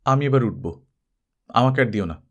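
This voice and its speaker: A man's voice: mid-range voice, lively intonation, moderate pace, lo-fi sound. A man voicing a wounded, loyal male friend in a story, earnest and confiding with a dry manner. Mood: dry, withdrawn.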